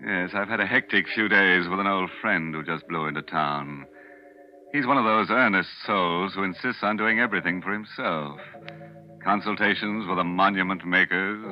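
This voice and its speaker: An actor playing a ghoulish, sardonic horror show host in 1940s radio style, tongue-in-cheek and theatrical. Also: male voice, low-pitched, normal speed, close to an everyday voice. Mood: mock-genial menace. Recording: muffled 1940s radio recording with organ music.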